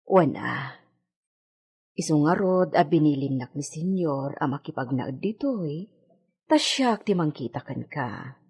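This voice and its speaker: A woman with a Filipino accent acting a deferential, practical village household worker in a radio drama, expressive and coaxing. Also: deep voice, a little slow, long pauses, breathy edge, full character voice. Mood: reassuring.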